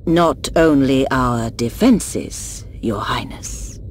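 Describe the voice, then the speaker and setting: A woman playing a deferential, calculating court minister in a game cutscene, formal and deliberate. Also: extremely low pitch, slight background noise, exaggerated voice. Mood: composed, deferential. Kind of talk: dramatic video game cutscene acting.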